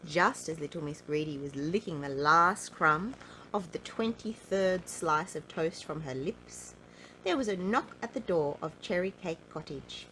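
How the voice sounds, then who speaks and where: A woman narrates a picture book for young children with a slightly low voice. Her pitch moves up and down a lot, and she stretches key words playfully. There is faint noise behind her. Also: poor audio. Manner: expressive, playful, drawn-out emphasis on key words. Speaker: storybook reader. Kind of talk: animated children's story narration.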